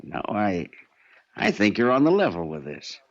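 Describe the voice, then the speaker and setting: Low, sly private eye. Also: male voice, huge pitch swings, moderate pace. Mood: mocking, guarded. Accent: American. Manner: slangy, insinuating, chuckling tough guy. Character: shady, sly, cocky private investigator angling for a cut. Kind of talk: 1940s radio crime drama acting. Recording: muffled 1940s radio recording.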